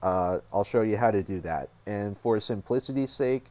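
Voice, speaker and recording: A man with a somewhat low voice walks viewers through software as a tutorial host. His delivery is casual and informal, and he thinks aloud while he works. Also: normal speed.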